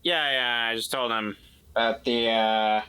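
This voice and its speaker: Man acting as evasive guy in a tabletop game, defensive, forceful bright voice, stammering, repeats himself. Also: medium pitch, steady tone, measured pace, clean tone. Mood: evasive, hesitant. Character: defensive, evasive, distrustful man named Walter.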